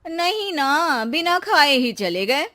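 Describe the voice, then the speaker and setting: A woman's voice: high voice, sing-song, slow, sharp tone, voice pushed up, heavily acted. A woman voicing a clever, fed-up young housewife in a cartoon, sounding sweetly sly. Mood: innocent. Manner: pleading, then sweetly sly. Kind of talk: cartoon voice acting.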